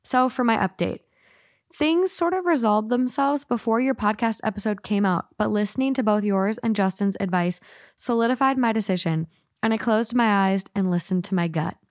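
A woman's voice: medium pitch, normal speed, no room sound, studio quality. A woman co-hosting a casual podcast and reading out listener letters in an upbeat, matter-of-fact way. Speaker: podcast co-host.

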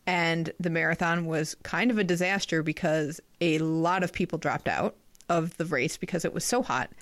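A woman co-hosting a sports podcast in casual chat, chatty and quick to laugh. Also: on the low side, dry recording. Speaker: podcast co-host.

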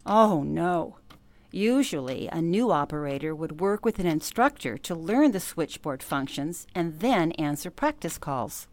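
A low-voiced elderly woman reminiscing. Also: animated, unhurried, a little mellow. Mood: proud recollection. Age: older. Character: elderly former switchboard operator fondly recalling her first night. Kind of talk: radio play acting as an interview.

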